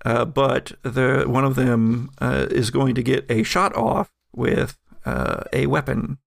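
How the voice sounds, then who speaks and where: A man narrating a tabletop game as game master, relaxed and chuckling, with many fillers. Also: mid-range voice, slow, vocal fry, no room sound, clean studio sound.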